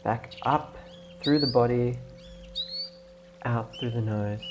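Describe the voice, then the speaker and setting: A man leading a guided meditation in a gentle, instructing way, leaving long pauses between phrases. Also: mid-range voice, background noise. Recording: clear birdsong and nature ambience behind. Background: birdsong, nature ambience.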